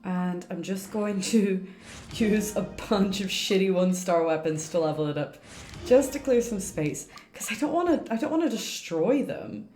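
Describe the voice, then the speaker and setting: A woman's voice: medium pitch, animated, moderate pace, dark tone. A woman streaming a game with casual, chatty commentary, thinking aloud as she plays. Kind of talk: casual live gameplay commentary. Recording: roomy echo, game music behind. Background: game music.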